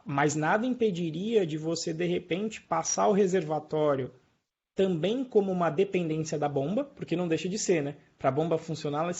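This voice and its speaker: A Brazilian man gives an informal live programming lesson in a fairly high, gravelly voice. He explains in a colloquial way and often checks that his listeners follow. The recording sounds dull and lo-fi. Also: normal speed, a little mellow. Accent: Brazilian Portuguese. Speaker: programming teacher. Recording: dull lo-fi online stream.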